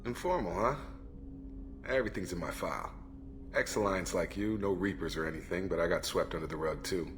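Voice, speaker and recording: An actor playing a steady, proud ex-military crew officer in a video game, plain-spoken and matter-of-fact. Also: male voice, low-pitched, fairly quick, background noise. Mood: frank, measured. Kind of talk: video game character acting.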